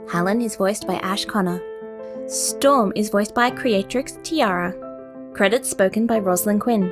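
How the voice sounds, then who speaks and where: A woman reading scripted podcast credits as the announcer. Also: mid-range voice. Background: music.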